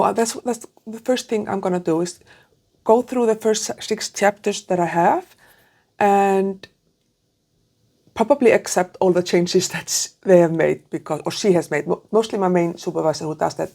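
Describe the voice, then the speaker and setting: Mellow, halting woman. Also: medium pitch, gravelly. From Iceland. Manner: halting, self-correcting, thinking aloud. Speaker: PhD student vlogger. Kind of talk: casual chat to camera. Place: small room with curtains, indoors. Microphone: clip-on lapel mic.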